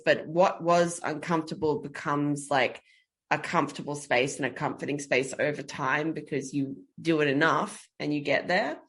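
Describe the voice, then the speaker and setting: Podcast guest, a woman with a deep voice, earnest and reflective, explains at length. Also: fairly bright tone. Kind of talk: casual podcast chat.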